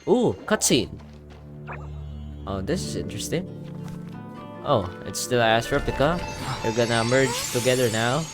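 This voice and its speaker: A man's voice: mid-range voice, expressive, measured pace, pauses often. A man doing casual reaction commentary as he plays through a game's story. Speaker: gamer reacting to a story quest. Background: soft game music.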